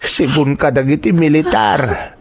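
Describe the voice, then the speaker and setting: An actor with an Ilocano accent playing a troubled, protective elderly father in a radio drama, with a husky voice. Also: on the high side, a little slow. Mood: gentle, somber. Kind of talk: Filipino radio drama acting.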